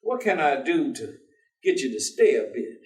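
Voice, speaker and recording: Animated, high-pitched folksy man. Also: moderate pace. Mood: coaxing. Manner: folksy, colloquial, persistent. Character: earnest, folksy working man pleading with a despairing professor. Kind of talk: staged dramatic reading. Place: theatre stage. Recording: echoey theatre, distant microphone.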